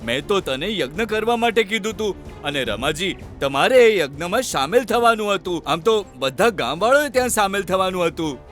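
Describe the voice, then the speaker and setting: A man playing a scheming fake guru in a children's cartoon, with drawn-out phrases and feigned piety. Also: high voice, very animated, a bit bright, soft background music. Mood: flustered. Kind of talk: Gujarati children's cartoon voice acting.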